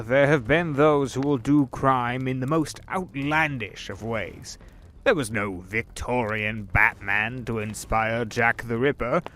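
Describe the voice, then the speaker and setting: A man voicing the Devil as a sly, smooth-talking businessman in an audio drama, drawling and knowing. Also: medium pitch, fairly bright tone, a little hiss or hum, natural voice. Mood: musing. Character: the Devil posing as suave rich businessman, middle-aged man. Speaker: voice actor. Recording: with background music.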